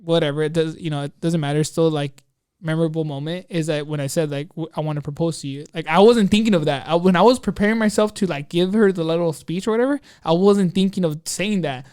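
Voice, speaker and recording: A man co-hosting a podcast, telling a personal story in an excitable, rambling, slangy way full of quoted asides. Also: a little high, fast talker, clean tone, no echo, studio quality. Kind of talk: casual chat, anecdote storytelling.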